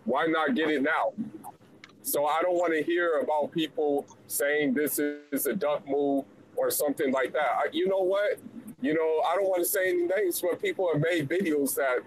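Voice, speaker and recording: A man calling in to a casual chat, measured, asking before he speaks and leaning on "you know". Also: on the high side, rough recording. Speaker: caller. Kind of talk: casual debate on a call.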